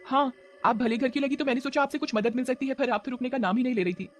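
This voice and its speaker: Man voicing a high, wheedling sly witch. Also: fast talker, faint music behind. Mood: pleading. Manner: wheedling, flattering. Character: sly witch posing as a pleading servant woman.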